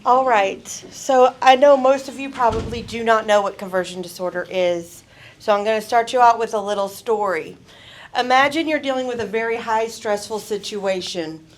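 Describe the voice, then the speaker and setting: A woman giving a college presentation, telling a story in a lively, chatty way. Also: on the high side, moderate pace. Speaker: student presenter. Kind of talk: class presentation. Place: college event room.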